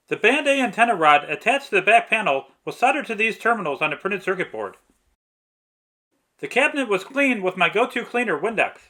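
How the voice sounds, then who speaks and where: A man's voice: high-pitched, sharp tone. A man narrating a scripted step-by-step restoration tutorial. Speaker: narrator, vintage radio restorer.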